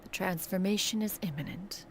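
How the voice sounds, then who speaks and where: A woman's voice: medium pitch, normal speed, slight background noise, natural voice. A woman voicing an ancient, aloof sage in a video game, speaking formally and with dignity. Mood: solemn. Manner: formal, stately, speaks of self as "one". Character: ancient aloof adeptus crane woman, dignified, sagely master. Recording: music behind the voice. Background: music.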